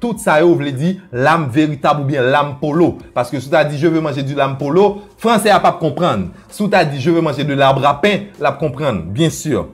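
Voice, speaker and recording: A man with a Haitian Creole accent teaching a playful video lesson, often dropping into low asides and jumping high for quoted voices. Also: normal speed, hardly any pauses. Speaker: language teacher.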